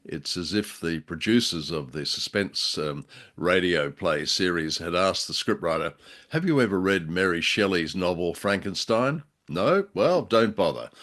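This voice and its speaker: Australian man, low register, genteel and discursive co-host, picking through words in casual discussion. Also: fairly bright tone. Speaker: co-host of a radio drama review show. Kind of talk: casual discussion of old radio drama.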